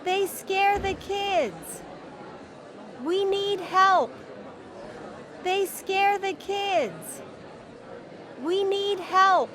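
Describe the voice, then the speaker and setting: A woman's voice: extremely high pitch, lively intonation, drawn-out words, pauses often, a bit bright, some chatter behind, exaggerated voice. A woman playing a worried townswoman in a children's storybook, enunciating carefully. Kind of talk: slow bilingual storybook dialogue.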